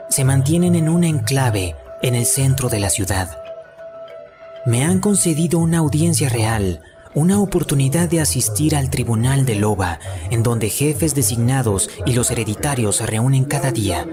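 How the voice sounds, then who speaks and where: Man narrating a documentary over music. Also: medium pitch. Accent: Latin American Spanish. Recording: music behind the voice. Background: background music.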